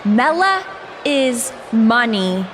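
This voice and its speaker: High, swooping bratty wrestling villain. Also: female voice, very animated, extremely slow, fairly bright tone, a little hiss or hum, exaggerated voice. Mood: smug, gloating. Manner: taunting, boastful, sneering. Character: cocky, mocking female wrestler villain, bratty and vain. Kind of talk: video game cutscene acting, trash talk.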